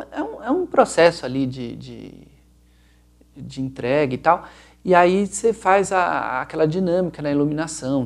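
A man's voice: fairly high pitch, creaky, a little mellow. A middle-aged Brazilian man giving an informal explanatory presentation, rambling casually and searching for words aloud. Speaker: lighting designer presenting a project video.

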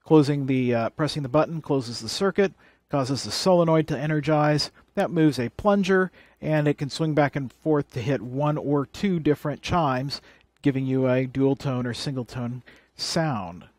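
A man's voice: mid-range voice, moderate pace, darkish tone, no room sound. A man presenting a hands-on electronics how-to, explaining things plainly and step by step. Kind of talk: hands-on tutorial demonstration.

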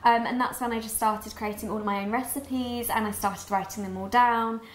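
A woman's voice: fairly high pitch, fairly even intonation, moderate pace, a little hiss or hum. A young British woman in her twenties, chatty and upbeat, explaining a recipe step by step as she cooks in a home kitchen. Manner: upbeat, chatty, talks while cooking. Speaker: cookbook author and guest cook. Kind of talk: cooking demonstration chat. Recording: roomy kitchen with music behind.

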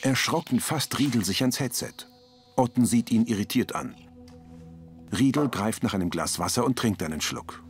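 A man's voice: medium pitch, lively intonation, normal speed, soft background music. A man reading scripted audio description of a television drama as its narrator.

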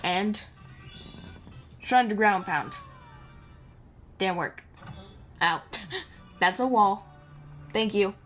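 A woman's voice: medium pitch, extremely slow, pauses often. Chatty woman gamer over game music. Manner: chatty, playful, mumbles asides to the game character. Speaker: gamer hosting a playthrough. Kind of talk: casual improvised gameplay commentary. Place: indoor voice-over recorded over game audio. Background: video game music.

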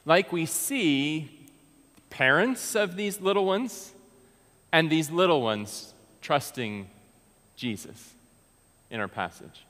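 A man's voice: fairly high pitch, lively intonation, takes it slowly, pauses often, fairly bright tone. An American man in his thirties, preaching from a pulpit in a large church sanctuary in an earnest, conversational way with frequent restarts. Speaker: pastor. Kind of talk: sermon. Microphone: pulpit mic, far camera. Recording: long church reverb.

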